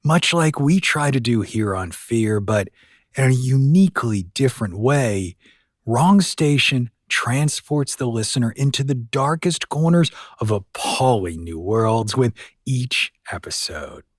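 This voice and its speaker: Casting: male podcast host, mid-pitched and very varied intonation, folksy scripted intro, dry studio sound. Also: animated, measured pace, dry recording.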